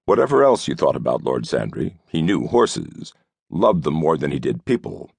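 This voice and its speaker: A man narrating an audiobook novel in a scripted storytelling style, with a gravelly voice. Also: a bass voice, big pitch swings, normal speed, a little mellow, no room sound.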